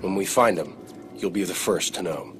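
A man with an American accent playing a cocky, decisive young starship captain in a sci-fi game, brisk, wry and commanding. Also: sits low, natural voice. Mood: wry, confident. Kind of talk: scripted sci-fi game acting. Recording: music loud under the voice.

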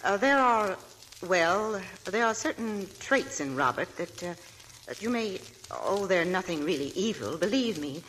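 A woman's voice: medium pitch, lively intonation, measured pace, a bit bright, poor audio. An actress playing an anxious, genteel older mother of an old family, gracious and hesitant, in 1940s radio acting. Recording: old radio broadcast with faint noise.

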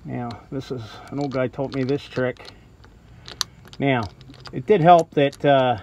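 A man's voice: medium pitch, darkish tone, dull audio, a little hiss or hum. A man casually explaining, step by step, how he fits a part onto a truck while he works outdoors, recorded on a handheld phone. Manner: plain-spoken, explaining step by step. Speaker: truck mechanic. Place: outdoors beside a truck, cloudy day.

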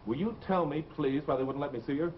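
A man's voice: a little high, fast talker, close to an everyday voice. An actor playing a worried, insistent fiancé in his thirties, blunt and urgent, in 1950s television drama style. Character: insistent, worried young fiancé demanding answers. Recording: dull, noisy old TV soundtrack.

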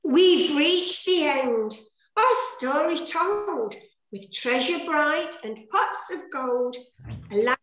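A woman's voice: fairly high pitch, a bit bright. Woman directing a panto on a video call, roomy sound, really swoopy declaiming. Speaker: director and narrating fairy in an amateur pantomime. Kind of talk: scripted rhyming narration and rehearsal directions. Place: indoor room at home.

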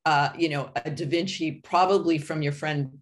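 A woman's voice: low-pitched, steady tone, normal speed. A middle-aged woman co-hosting a casual podcast interview, speaking effusively. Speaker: podcast co-host. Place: home room on video call.

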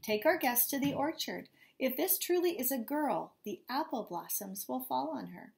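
A woman reading aloud as a clever queen mother who talks in a brisk, knowing way. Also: high-pitched, lively intonation, creaky, a little hiss or hum.

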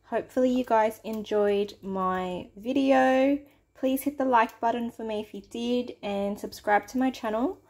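A woman's voice: medium pitch, darkish tone. Brief: Australian woman, home cooking vlogger, chatty step-by-step talk, roomy kitchen sound. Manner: chatty, step-by-step, addresses viewers as guys. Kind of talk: casual cooking narration to camera.

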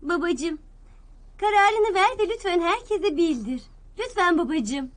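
A woman's voice: extremely high pitch, lively intonation, a little slow. An actress dubbing an eager young princess in a cartoon who coaxes her father insistently. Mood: pleading. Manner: coaxing, insistent. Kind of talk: Turkish cartoon dub.

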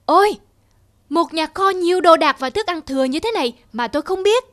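A woman's voice: very high voice, animated, a little fast, fairly bright tone. A woman voicing a naive, eager grey mouse in a cartoon, speaking with deference. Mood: admiring. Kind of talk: cartoon voice acting.

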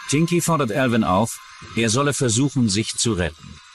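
A man's voice: medium pitch, sing-song, normal speed, faint music behind. A man reading scripted true-crime documentary narration.

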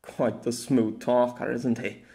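A man's voice: medium pitch, animated, normal speed, natural voice. A man voicing a sardonic, sneering bowman in a fantasy story. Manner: sneering, mocking.

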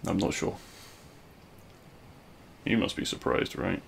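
A man playing a regretful, lovelorn supervillain in an audio roleplay, speaking in a hushed, halting, wistful way. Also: slight background noise, close to an everyday voice. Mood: confessional. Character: regretful lovelorn male supervillain addressing his fallen hero. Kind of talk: scripted ASMR audio roleplay monologue.

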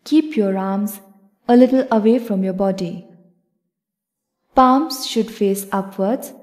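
Casting: female yoga nidra guide, calm and measured, unhurried delivery, soft-edged relaxed tone. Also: mid-range voice. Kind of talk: guided meditation narration.

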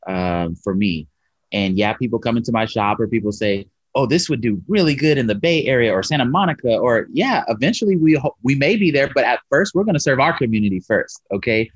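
A man in his thirties with a lowish voice speaks earnestly and animatedly about his shop on a video call. The sound is dry and a bit dull, the way a webcam records it. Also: dry recording. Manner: earnest, animated, gestures while talking. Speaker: coffee shop owner, guest. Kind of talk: interview over video call. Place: his coffee shop, indoors. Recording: slightly muffled video call audio.

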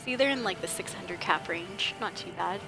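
A woman's voice: medium pitch, moderate pace, over music, low-quality recording. A young woman streaming a game from home, chatting casually and thinking aloud as she sorts and prices her loot. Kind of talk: casual gameplay commentary. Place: home gaming setup, indoors.

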